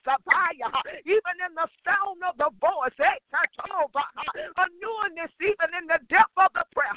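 A woman's voice: high-pitched, dry recording. A woman leading fervent intercessory prayer, chanting rhythmically in tongues between English declarations, with frequent filler sounds. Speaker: prayer leader. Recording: dull lo-fi dry recording.

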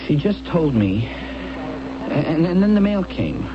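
An actor playing an anxious, bewildered young husband in a vintage radio drama, hesitant and pleading. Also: male voice, on the high side, very animated, takes it slowly, crowd noise. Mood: puzzled, hesitant. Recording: dull, noisy old radio broadcast, humming.

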